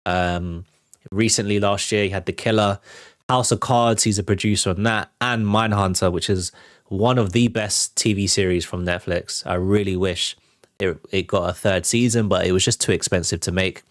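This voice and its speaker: Low-pitched man hosting casual entertainment news. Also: steady tone, moderate pace, no echo, clean studio sound. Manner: informal, conversational, enthusiastic fan talk. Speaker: host of a film and TV news show.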